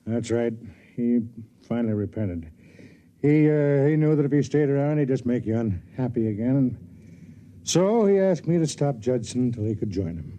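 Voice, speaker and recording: Actor as weary old fat man, mellow voice. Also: mid-range voice, expressive, normal speed, natural voice. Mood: weary, evasive. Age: older. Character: old fat world-weary space station official, narrating grimly. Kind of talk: 1950s radio drama acting and narration. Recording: 1950s radio recording with music.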